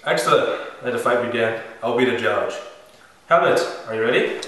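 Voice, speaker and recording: A man's voice: medium pitch, moderate pace, fairly bright tone, natural voice. A middle-aged man playing a commanding, scheming king overseeing a duel in an amateur home-video drama, recorded far off in an echoey basement. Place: large basement room indoors.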